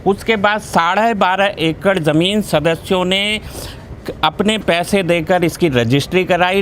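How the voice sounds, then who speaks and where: A man's voice: high-pitched, fairly bright tone, slight background noise. A man from a housing society, steadily recounting its history in a factual way. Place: outdoors.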